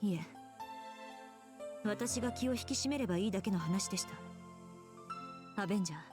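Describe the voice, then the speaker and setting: A woman's voice: mid-range voice, racing, lightly acted. An actress playing a stern, resolute woman. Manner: firm, decisive. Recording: game audio under music. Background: game music.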